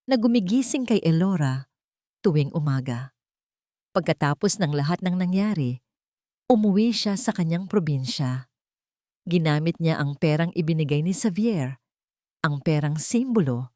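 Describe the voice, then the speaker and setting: A woman's voice: deep voice, darkish tone, no echo, studio quality. A woman with a Filipino accent narrating a dramatic scripted love story.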